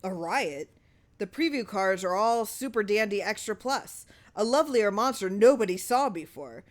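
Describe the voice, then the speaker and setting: Woman as old male lawyer, wily, flattering, persuasive, rhetorical questions, storybook narration. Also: medium pitch, moderate pace, natural voice. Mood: gleeful.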